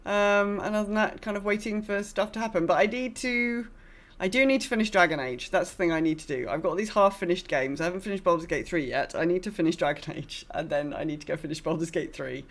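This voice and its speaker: A woman's voice: lowish voice, fast. A woman hosting a gaming podcast, chatting casually in a rambling, self-deprecating way. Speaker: podcast host.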